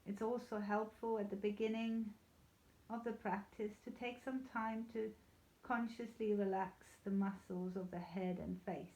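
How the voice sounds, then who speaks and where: Mellow woman guiding relaxation, roomy sound. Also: medium pitch, fairly even intonation. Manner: soothing, unhurried, gently reassuring. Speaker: yoga nidra guide. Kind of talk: guided relaxation meditation. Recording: slightly dull, echoey room sound.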